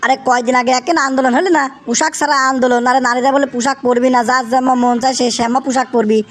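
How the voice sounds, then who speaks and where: A man acts out an excitable young cartoon bachelor with a strikingly high voice. He has a Bangladeshi accent and whines and pleads, hammering his phrases again and again like a comic schemer chasing fame. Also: fast. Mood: excited scheming. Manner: whiny, pleading, repeats himself emphatically. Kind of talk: exaggerated comic cartoon acting.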